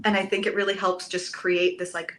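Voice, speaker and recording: A woman, a Broadway actress, chatting as a podcast guest, telling stories with lively enthusiasm and the odd casual swear word. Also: on the low side, a little fast, a little hiss or hum. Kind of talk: casual podcast interview chat.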